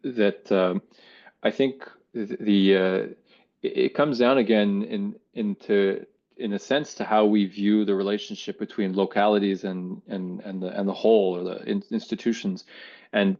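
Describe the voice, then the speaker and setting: Flat, low-voiced man on a dull line. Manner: halting, restarts often. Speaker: seminar panelist. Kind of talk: academic discussion on a video call. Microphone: video call.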